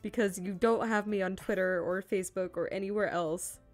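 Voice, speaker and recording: A woman's voice: mid-range voice, fairly even intonation, normal speed, faint music behind. A woman hosting a stream with casual, exasperated chat and some swearing. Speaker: stream host.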